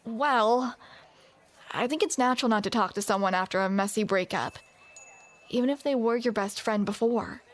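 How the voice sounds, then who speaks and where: A woman's voice: fairly high pitch, moderate pace. A woman performing a scripted romantic audio roleplay, with playful, teasing banter, close to the microphone.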